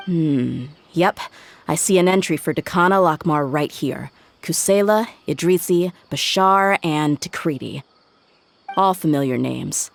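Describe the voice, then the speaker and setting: A woman's voice: deep voice, creaky. A young woman playing a tough, blunt, self-assured mercenary in a video game. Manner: assertive, no-nonsense. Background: game music.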